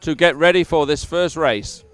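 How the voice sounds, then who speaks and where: A man's voice: fairly high pitch, moderate pace, a bit bright, smooth, no echo, faint music behind. A man working as an event announcer, handing over to the next part of the coverage. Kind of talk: handover announcement.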